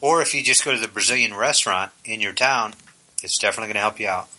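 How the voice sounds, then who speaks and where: A man co-hosting a podcast, trading casual banter and quick joking asides. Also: on the low side, bright, forceful tone, poor audio. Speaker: podcast co-host.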